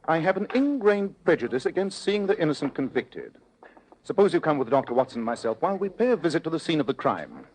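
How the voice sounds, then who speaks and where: A man playing a keen, self-assured English gentleman detective in an old-time radio drama, speaking formally and with precise authority. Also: a little high, sing-song, fast talker, low-quality recording. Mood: courteous, reassuring.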